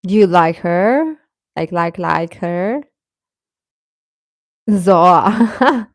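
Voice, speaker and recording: A woman hosting a casual livestream chat, answering viewers informally and haltingly, often repeating short phrases. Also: fairly low pitch, animated, no echo, studio quality. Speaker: livestream host chatting with viewers.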